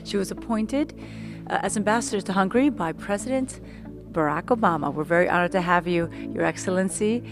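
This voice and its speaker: A woman's voice: medium pitch, brisk. Formal woman interviewer, music behind. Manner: formal, deferential, with a few restarts. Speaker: TV interviewer, host.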